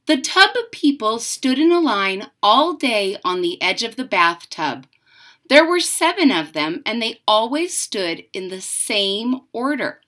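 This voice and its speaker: Animated woman reading a picture book. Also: mid-range voice. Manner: deliberate, dramatic emphasis. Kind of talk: expressive children's read-aloud with chatty asides. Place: room at home.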